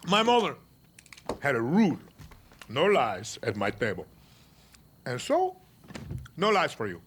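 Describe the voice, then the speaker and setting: An actor playing a middle-aged male dictator in a video game, cold, controlling and theatrical, speaking deliberately and commandingly. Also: mid-range voice, wildly swooping pitch, a little hiss or hum. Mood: controlled, menacing. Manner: deliberate, commanding, dropping to hushed menace. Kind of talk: cinematic video game acting.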